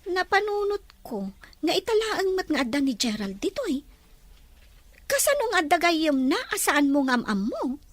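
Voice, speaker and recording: A woman's voice: very high-pitched, big pitch swings, normal speed, no room sound. A woman playing a curious, observant, teasing young female friend in an audio drama.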